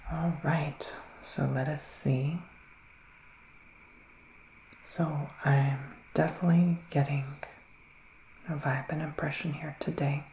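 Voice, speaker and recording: Soft whispery asmr guy doing a mystical witch, slow n musing, gravelly fry, roomy sound. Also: on the high side, fairly even intonation, a little hiss or hum. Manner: slow, hesitant, musing, with murmured hums. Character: gentle, mystical male witch giving readings. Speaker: ASMR roleplayer as a psychic witch. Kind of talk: soft-spoken ASMR roleplay, partly whispered.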